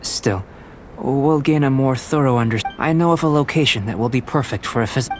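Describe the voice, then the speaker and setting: A man voicing a calm, studious young alchemist in a video game, speaking in a measured, formal, detached way. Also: mid-range voice, background noise, close to an everyday voice. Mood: matter-of-fact. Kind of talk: video game character dialogue. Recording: game music behind the voice.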